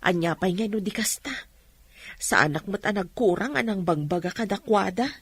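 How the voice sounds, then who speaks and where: An actress playing a calm, trusting mother of grown sons in a radio drama, patient and questioning. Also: mid-range voice, normal speed, breathy edge. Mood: defensive.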